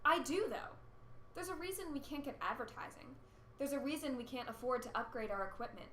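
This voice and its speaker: Sardonic, bright-voiced radio host played by a woman. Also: high voice, a little fast. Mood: exasperated. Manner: exasperated, sardonic. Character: cynical, irritable female co-host of an underground radio station. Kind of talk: amateur radio play, broadcast narration. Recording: roomy echo, faint background noise.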